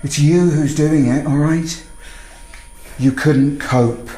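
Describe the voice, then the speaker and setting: A man playing a calm, controlling British murderer in a radio thriller, with coaxing menace. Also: on the high side, slow, soft background music. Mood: quietly menacing. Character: cold, controlling male lover calmly staging a murder. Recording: echoey room with faint noise.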